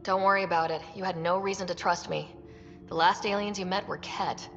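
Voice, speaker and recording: An actress playing an earnest young human space explorer in a video game, plain-spoken and diplomatic. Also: female voice, fairly low pitch, steady tone, brisk, natural voice. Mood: reassuring. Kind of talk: cinematic video game acting. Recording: dull game audio with faint music.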